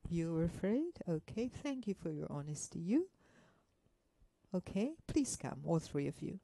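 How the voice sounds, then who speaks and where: A woman giving an interactive motivational talk, gently coaxing her listeners with questions and endearments. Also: lowish voice, sing-song, measured pace, creaky, dry recording. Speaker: workshop speaker.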